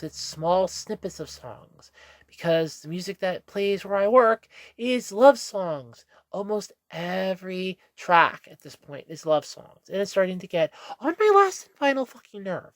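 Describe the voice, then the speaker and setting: A woman's voice: lowish voice, no room sound. A woman with a stuffy nose talking to camera in a candid, meandering vlog monologue, correcting herself as she goes, recorded in a room at home. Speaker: vlogger. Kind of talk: casual rambling monologue.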